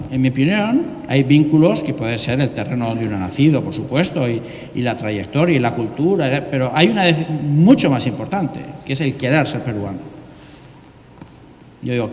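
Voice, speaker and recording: A man giving an academic keynote lecture on law, often posing rhetorical questions and answering them himself. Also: a little high. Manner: asks and answers his own rhetorical questions. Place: ceremonial hall in a courthouse. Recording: long reverb of a large hall.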